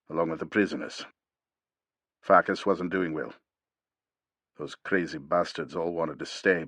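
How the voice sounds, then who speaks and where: Man reading an old, grim mercenary, low and hushed, dry close read. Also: slightly rough, dry recording, full character voice. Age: older. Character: stoic old male mercenary warrior, grieving.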